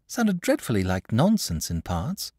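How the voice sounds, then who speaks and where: A man's voice: lowish voice, a little slow, no echo, studio quality, full character voice. A man voicing an inquisitive little girl in a storybook, eager and questioning. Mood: doubtful. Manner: excited, questioning. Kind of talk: storybook character voice.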